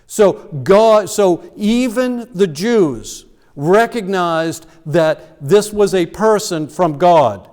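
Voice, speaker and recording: High-voiced pastor in a reverberant church. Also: male voice, lively intonation, takes it slowly, a little mellow. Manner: explanatory, conversational preaching with fillers and restarts. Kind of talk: sermon. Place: church sanctuary.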